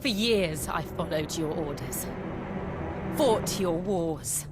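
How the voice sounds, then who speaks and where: An actress playing a resolute young woman outlaw in a fantasy game, speaking with measured, earnest intensity. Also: fairly low pitch, heavy background noise. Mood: bitter, accusing. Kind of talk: dramatic fantasy game acting.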